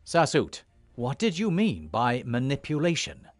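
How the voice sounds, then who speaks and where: An actor playing a resolute, dry-witted hero with an earnest, measured manner in a fantasy game cutscene. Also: male voice, mid-range voice, huge pitch swings, no room sound, soft background music. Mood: wary.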